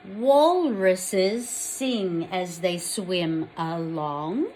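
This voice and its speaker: An Australian woman reading a picture book aloud to young children, expressively, pausing to put questions to them. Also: fairly low pitch, a little hiss or hum. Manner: addresses young children directly, expressive storytelling.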